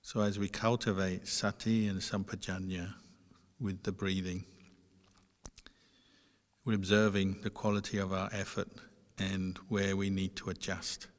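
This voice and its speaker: A man teaching meditation, giving guided instruction in a measured way with pauses between short phrases. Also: low-pitched, level tone. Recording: long reverberant hall sound.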